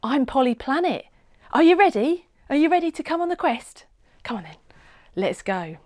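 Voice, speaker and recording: A woman's voice: high-pitched, big pitch swings, normal speed, darkish tone, pitched above the performer's own voice. A woman voicing a friendly, confident female superhero in a storybook, bold and encouraging. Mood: inviting. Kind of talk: storybook read-aloud character voice.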